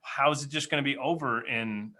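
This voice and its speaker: A man's voice: medium pitch, brisk, bright, forceful tone. A man in his forties telling stories casually as a podcast host, animatedly acting out remembered dialogue. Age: 40s. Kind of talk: casual reminiscing storytelling.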